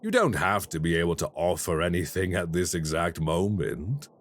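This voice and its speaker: A man voicing a cold, calculating crime boss in an audio roleplay, speaking in a slow, measured and formally menacing way. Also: deep voice, sing-song. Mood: menacing offer.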